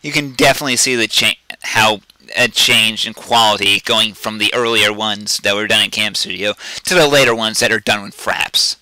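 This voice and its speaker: A man with a very bright, sharp, forceful tone walks viewers through software in a loose, rambling tutorial. He is blunt and keeps breaking off and restarting his sentences. His loud voice sits on a dry, close microphone. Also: fairly low pitch, normal speed, no echo, poor audio. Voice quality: self-described loud voice. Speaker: video tutorial host. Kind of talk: casual screen-recorded software tutorial.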